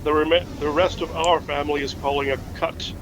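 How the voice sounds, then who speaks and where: A man playing a courteous, formal man who speaks haltingly in a naturalistic audio drama phone call. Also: on the high side, little pitch movement, noisy background, close to an everyday voice. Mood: polite, earnest. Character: courteous, formal man offering condolences and help. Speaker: caller.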